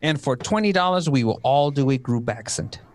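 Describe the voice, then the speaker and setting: A man's voice: medium pitch, lively intonation, normal speed, no room sound, studio quality. A man hosting a live radio play show, chatting casually and explaining how things work.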